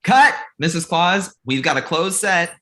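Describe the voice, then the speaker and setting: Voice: mid-range voice, lively intonation, unhurried, fairly bright tone. An actor playing a glib, self-absorbed amateur male film director in a comedy table read, breezy and confident. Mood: pushy.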